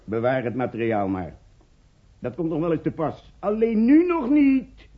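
An actor playing a bossy, cynical newspaper editor in a radio play, curt and commanding, on an old recording. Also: male voice, fairly high pitch, very animated, a little slow, breathy edge, narrow, tinny audio, exaggerated voice. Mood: insistent, instructing. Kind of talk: Dutch radio play acting.

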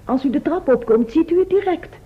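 High-voiced, demure messenger girl. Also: lively intonation, fairly quick. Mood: polite, dutiful. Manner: polite, dutiful. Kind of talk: radio play acting. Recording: dull, lo-fi old radio recording.

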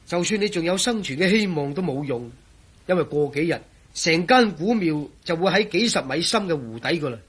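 A man acting a proud, excitable engineer and grumbling companion in a radio drama, with a Hong Kong Cantonese accent. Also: on the high side, fairly quick, husky. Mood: worried.

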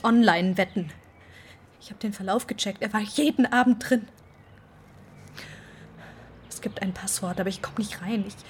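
An actress playing a distraught mother in a radio drama who speaks in a tense, hushed, halting way. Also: a little high, slight background noise, lightly acted. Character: distraught mother, whispering in shock.

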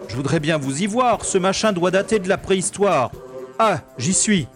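An actor playing a wry special agent who narrates in first person with dry, understated quips. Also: male voice, high-pitched, lively intonation, normal speed. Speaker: hero and narrator. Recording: music score playing underneath.

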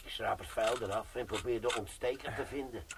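Guy doing a gruff bomb sergeant, swears a lot, radio play, gravelly voice. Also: medium pitch, brisk, background noise, lightly acted. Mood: lecturing. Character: gruff veteran male bomb disposal sergeant.

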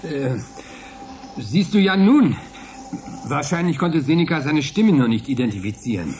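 A man playing a decisive, scheming group leader in a radio drama, brisk and practical. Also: a little high, lively intonation, darkish tone, a little hiss or hum. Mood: covering up.

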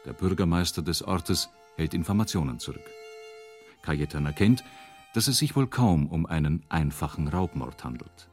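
A man reading scripted radio drama narration in a sober, measured manner. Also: low-pitched, lively intonation. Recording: music behind narration.

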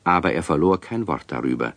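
A man's voice: sits low, animated, brisk, vocal fry, no echo. A man narrating a scripted children's radio play as its storyteller.

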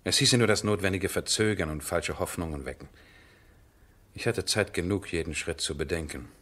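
Casting: resolute baron, deep-pitched, terse, brooding, used to command, 1970s radio play. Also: male voice, normal speed, a bit bright, husky.

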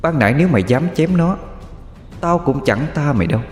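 Commanding goddess, scolding and raised, as a male storyteller puts her on; lively pitch, reverb behind. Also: brisk, lightly acted. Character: stern, wrathful mother goddess ruling spirits. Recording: reverb with background music.

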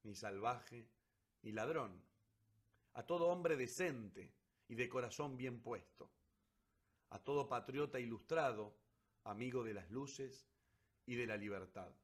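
Man narrating old literary tale for radio theatre, middle pitch, serious dramatic delivery. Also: slow, pauses often.

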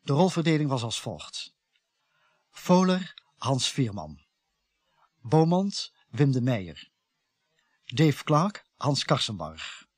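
Guy reading radio play credits, slow and formal, husky, lively up and down pitch, dry sound. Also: dry recording. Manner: deliberate, list-reading. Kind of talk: formal scripted announcement.

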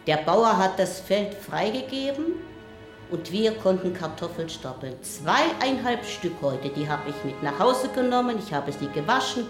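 An actress playing a poor, overwrought post-war mother in a stylised radio play, declaiming in deliberate, chopped phrases. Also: mid-range voice, roomy sound.

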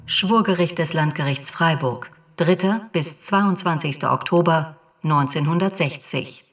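A formal female announcer in a radio play. She speaks slowly in a lowish voice, a little off the microphone. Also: far from the mic. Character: formal female announcer of acts and court sessions.

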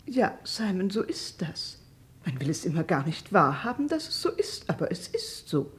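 An animated, plaintive older widow. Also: female voice, lowish voice, moderate pace. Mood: plaintive, rambling. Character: older widow, plaintive, rambling and self-justifying. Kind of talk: vintage German radio crime drama acting.

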